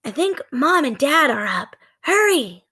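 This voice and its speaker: A woman voicing an eager, adventurous young girl from a storybook, with expressive, earnest delivery. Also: high-pitched, unhurried, voice pushed up, heavily acted. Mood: urgent, excited.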